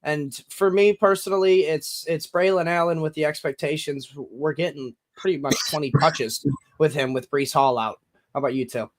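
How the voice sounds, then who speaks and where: A man's voice: on the high side, normal speed, rough recording. A man with a nasal voice, hosting a sports podcast in a friendly, upbeat way. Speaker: podcast host.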